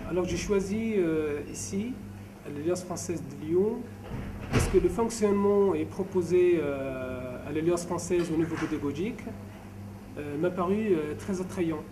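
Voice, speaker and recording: A man's voice: fairly high pitch, unhurried. A man in his twenties with a Saudi Arabic accent, giving a hesitant student testimonial in a second language. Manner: hesitant, with long phrases broken by fillers. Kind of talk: spoken testimonial. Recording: distant mic, clear background noise.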